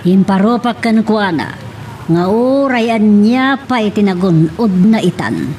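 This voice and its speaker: A woman's voice: medium pitch, animated, unhurried, dark tone, slight background noise, natural voice. A woman with an Ilocano accent playing a haughty, scheming wealthy madam in an audio drama. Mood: displeased.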